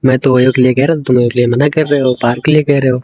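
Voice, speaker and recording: A man's voice: mid-range voice, steady tone, racing, warm tone. A young man chatting casually and intimately on a phone call in a coaxing, teasing way. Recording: dull lo-fi phone call recording.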